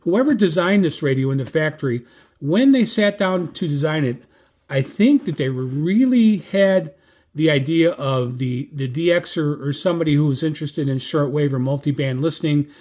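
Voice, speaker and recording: An American man giving a casual, unscripted product review as a gadget reviewer, with a halting, self-correcting delivery. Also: on the high side, expressive, a little mellow. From United States. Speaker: radio reviewer.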